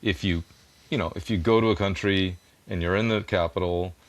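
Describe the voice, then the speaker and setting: A man's voice: deep voice, fairly even intonation, brisk, dry recording. A man guest in a conversational interview, earnestly explaining things at length and poking fun at himself, a native English speaker. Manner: earnest, explanatory, self-deprecating. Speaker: music producer and interview guest.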